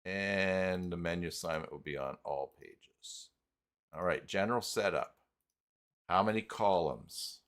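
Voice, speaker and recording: A man hosting an unscripted live software tutorial, talking haltingly as he thinks aloud while working. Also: low-pitched, clean tone. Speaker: host of live tutorial stream.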